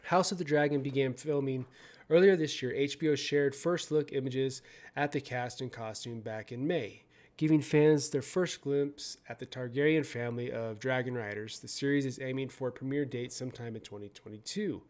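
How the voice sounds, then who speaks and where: A young adult man hosting his own channel, reading news out loud in a casual way, often stumbling over words, swearing and joking. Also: medium pitch, normal speed. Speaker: video host.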